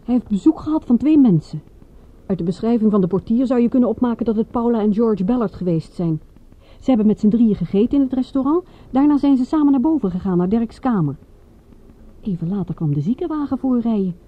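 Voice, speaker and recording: An actress playing a composed, practical detective's companion in a radio drama, matter-of-fact with a light dry touch. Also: female voice, on the high side, lively intonation, fast. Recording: dull, muffled radio recording.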